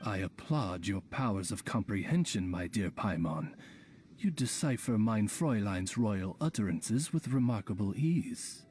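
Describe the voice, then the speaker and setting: A man's voice: lowish voice, lively intonation, measured pace, a little mellow, slight background noise. A man voicing a loyal, formal familiar serving a princess, courteous and florid, in a video game. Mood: courtly.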